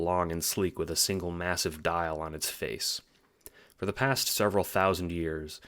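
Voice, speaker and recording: Low-pitched man narrating an audio drama. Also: fairly bright tone. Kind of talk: scripted storytelling narration.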